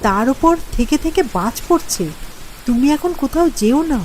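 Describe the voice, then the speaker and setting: A woman's voice: sits high, lively intonation, normal speed, darkish tone, a little hiss or hum. A woman playing an anxious young village mother in a cartoon, worried and pleading. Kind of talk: Bengali cartoon story acting.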